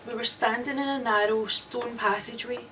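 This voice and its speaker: An actress playing a haunted woman who narrates her nightmare slowly and dramatically in an old radio suspense drama. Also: a little high, steady tone, sharp tone. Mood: haunted dread. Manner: slow, dramatic, dread-laden. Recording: distant, noisy old radio recording.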